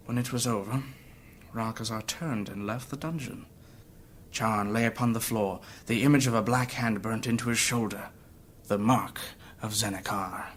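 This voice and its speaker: A man's voice: mid-range voice, fairly bright tone, slight background noise. A man playing a grave, guarded adventurer in a fantasy audio drama, speaking in solemn, formal, old-fashioned phrasing. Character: grave battle-worn adventurer recounting past horrors, courteous, guarded.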